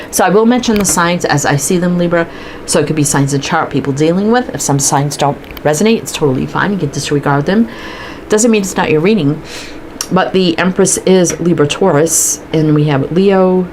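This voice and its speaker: Low-voiced stuffy woman reading tarot. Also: a little mellow, slight background noise, low-quality recording. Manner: chatty, thinks aloud while pulling cards. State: stuffy from allergies, sniffling. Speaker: tarot reader. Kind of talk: casual tarot card reading to camera.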